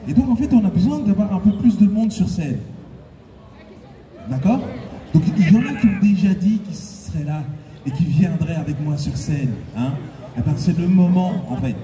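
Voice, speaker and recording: Playful high-voiced man hyping a crowd. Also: lively intonation, moderate pace. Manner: playful, teasing, laughs often, urges the crowd on. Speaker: singer and concert host. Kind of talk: stage banter with a convention crowd. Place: large indoor convention hall stage. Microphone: handheld stage mic through PA, filmed from audience. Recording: echoey hall PA from far off, loud crowd. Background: cheering crowd.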